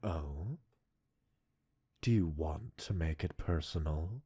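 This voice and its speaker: A man playing an obsessive, coolly possessive mad-scientist captor in an intimate roleplay audio, speaking with deliberate, teasing dominance and a breathy huskiness. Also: a bass voice, dark tone, full character voice. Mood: possessive, seductive. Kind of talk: intimate scripted roleplay addressed to listener.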